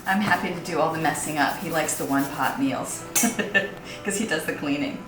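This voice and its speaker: A woman's voice: sits low, brisk. A middle-aged American woman talking informally and explaining things as a home cook presenting a cooking demonstration. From United States, partly Hawaii. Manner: conversational, explanatory. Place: indoors, roomy kitchen. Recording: echoey room with music behind.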